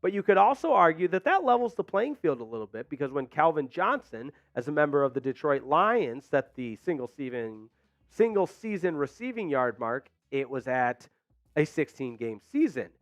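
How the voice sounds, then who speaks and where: Energetic man hosting sports talk. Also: a little high, normal speed, no room sound. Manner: energetic, emphatic. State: losing his voice. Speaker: sports show host. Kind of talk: live sports talk show. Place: small studio, indoors. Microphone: headset mic.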